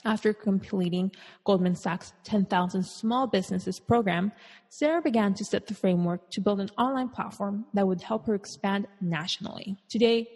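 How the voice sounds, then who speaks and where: A woman's voice: medium pitch, darkish tone, breathy edge. A woman podcast host reading a guest introduction and interviewing, mixing in Spanish words. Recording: long reverb.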